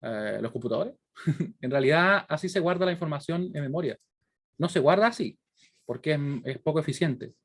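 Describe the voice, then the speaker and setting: A male university lecturer with a Chilean accent and a slightly high-pitched voice. He explains in a didactic way, thinking through worked examples aloud. He keeps stopping to put short check-in questions to his students. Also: lively intonation, normal speed.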